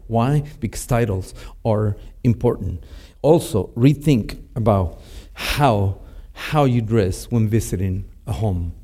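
A male pastor with a Spanish-speaking Mexican upbringing, his English carrying a clear Mexican accent. His tone is mellow and warm, at an ordinary middle pitch. He talks in a halting way, full of fillers and restarts, as he teaches. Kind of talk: teaching talk to a church audience.

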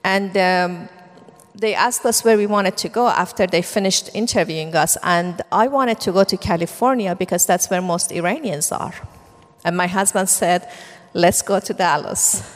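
A woman's voice: lowish voice, moderate pace. A middle-aged woman from Iran with a Persian accent, earnestly telling her life story to an audience, with plenty of fillers. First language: Farsi. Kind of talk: personal testimony talk. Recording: long reverb of a hall.

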